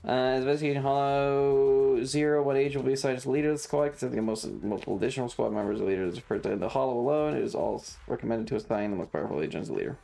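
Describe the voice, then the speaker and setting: Woman, gravelly fry, blunt deadpan delivery, sweary, casual game stream chatter, faint music underneath. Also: extremely low pitch, rapid-fire, few pauses. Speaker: livestreamer. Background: video game music.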